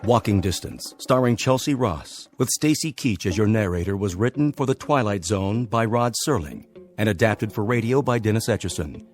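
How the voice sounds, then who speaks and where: A man's voice: on the low side, animated, moderate pace, a little mellow, faint music behind. A man reading scripted closing credits as the announcer of a radio drama.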